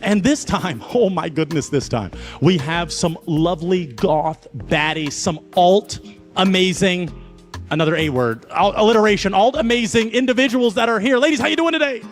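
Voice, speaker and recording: A man hosting a live dating show in an excitable, playful, rambling way, speaking into a handheld mic. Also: high-pitched, moderate pace, faint music behind.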